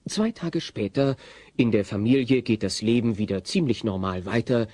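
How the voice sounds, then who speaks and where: A man playing the wry, ironic narrator of a family comedy audio play, reading scripted narration in a husky voice. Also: medium pitch, normal speed, warm tone, no echo, lightly acted.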